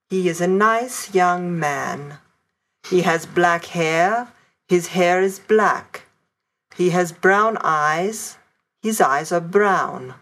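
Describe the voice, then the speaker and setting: A woman reading example sentences in a language lesson, slow and even. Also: lowish voice. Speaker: course audio reader.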